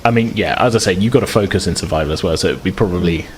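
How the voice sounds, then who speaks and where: Deep voiced guy game mastering, chatty and hedging as he narrates, bit of noise behind him. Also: racing. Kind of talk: narrating a tabletop roleplaying game, casual.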